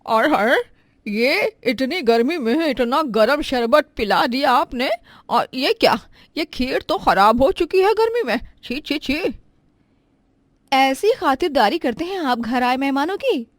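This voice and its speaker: A woman's voice: sits high, animated, unhurried, dry recording. A woman voicing a scornful, huffy mother of a prospective bride, scolding her hosts in a moral story. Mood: disgusted, indignant.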